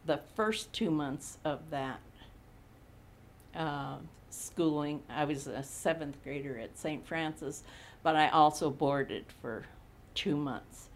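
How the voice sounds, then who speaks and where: A woman in her eighties from Montana, recalling her childhood slowly in an oral history interview. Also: low voice, slight background noise. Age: 80s. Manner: slow, unhurried recollection with frequent pauses. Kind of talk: reminiscing in a recorded interview.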